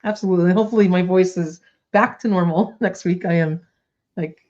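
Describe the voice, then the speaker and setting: A woman's voice: fairly low pitch, brisk, darkish tone. Woman co-host, chatty and bubbly, a little under the weather, slightly dull lo-fi recording. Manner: chatty, bubbly, lots of likes and ums. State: coming down with a cold. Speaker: toy news podcast co-host. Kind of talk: casual chat.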